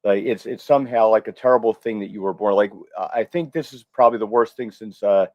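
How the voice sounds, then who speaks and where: A man's voice: lowish voice, fast, a little mellow, no echo. A man giving casual, opinionated commentary as a panelist, recorded on a lo-fi microphone. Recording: dry, dull lo-fi microphone.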